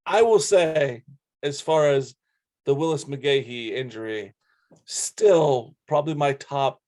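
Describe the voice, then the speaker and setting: A man's voice: mid-range voice, takes it slowly, darkish tone. A man co-hosting a sports podcast, chatting casually and telling sports stories. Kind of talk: casual sports chat and anecdotes.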